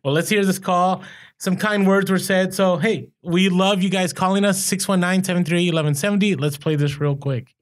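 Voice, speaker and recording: A man co-hosting a casual podcast, talking in an animated, easygoing way with lots of "like" fillers. His speaking voice sits on the higher side for a man. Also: a little fast. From California. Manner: animated, laughs easily, lots of "like". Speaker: podcast co-host. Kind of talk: casual chat.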